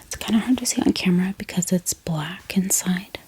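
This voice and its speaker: A woman speaking in a soft, gentle ASMR whisper, musing aloud in an unhurried way as she hosts. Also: fairly low pitch, slight background noise. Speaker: ASMR book haul host.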